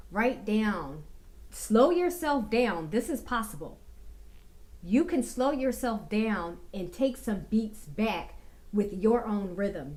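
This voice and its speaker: A woman vlogger talking to her viewers about getting a full life, slow and reflective with many fillers, a little raspy from a cold. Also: medium pitch, a little mellow. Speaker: vlogger giving life advice. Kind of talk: casual talking-to-camera advice.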